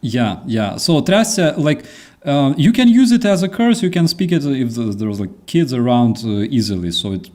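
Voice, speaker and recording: A man with a dark, mellow voice hosts a relaxed chat. He talks animatedly, acting out little quoted phrases and padding his speech with fillers. His pitch sits in the ordinary middle range for a man. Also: normal speed. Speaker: host. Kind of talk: casual chat.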